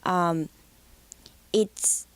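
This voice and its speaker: A young woman from the Dominican Republic, a singer answering interview questions in a thoughtful, measured way, correcting herself as she goes. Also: no room sound.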